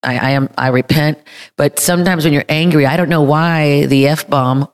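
A woman with a deep voice sharing candidly in a church group, emotional and halting, with many fillers. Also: steady tone. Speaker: group member.

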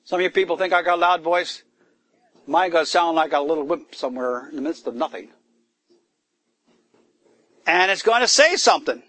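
High-voiced emphatic preacher. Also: male voice, lively intonation, moderate pace, long pauses, sharp tone, no echo, poor audio. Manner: rising to emphatic high bursts, asking the congregation questions. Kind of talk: sermon. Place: church gathering in the woods.

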